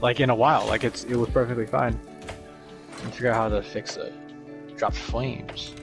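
A man's voice: mid-range voice, fairly even intonation, moderate pace, vocal fry. A young man chatting with friends over voice chat during a shooter game, teasing and calling out plays. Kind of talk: casual gaming banter. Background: game sounds and music.

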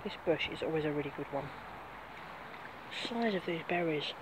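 A woman's voice: low-pitched, lively intonation, normal speed, noisy background. A middle-aged woman chatting casually as she walks outdoors on a grassy field, pointing things out, recorded on a phone held close. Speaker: vlogger. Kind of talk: casual walk-and-talk vlog. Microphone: phone camera held close.

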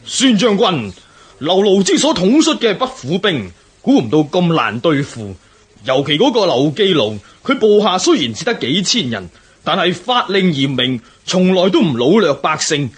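A man's voice: high-pitched, big pitch swings, normal speed. An actor playing a rebel adviser who speaks earnestly and emphatically to his general in a historical radio drama. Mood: grave.

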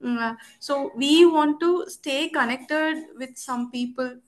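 A woman, a parent joining a video call, speaking hesitantly with many pauses and fillers. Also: high voice. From India. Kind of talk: casual video-call discussion.

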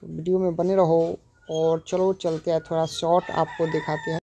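A man's voice: fairly high pitch, little pitch movement, normal speed, gravelly, mellow. A man, narrating a casual walking vlog in a repetitive, explanatory way into a phone held at arm's length. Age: 20s. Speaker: vlogger. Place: outdoors at a sports ground and roadside. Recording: very noisy outdoor traffic and ambience.

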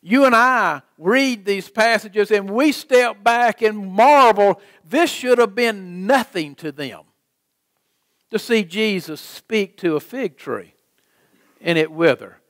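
A middle-aged American man preaching a sermon as a pastor, speaking in an emphatic, deliberate manner. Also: high-pitched, a bit bright, dry recording, studio quality. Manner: emphatic, deliberate, with rhetorical questions and repetition. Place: church sanctuary, preaching from a lectern.

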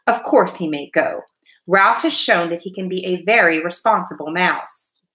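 A woman's voice: lowish voice, moderate pace, a bit bright, roomy sound, slight background noise, close to an everyday voice. A woman voicing a hearty, approving uncle mouse from a children's storybook.

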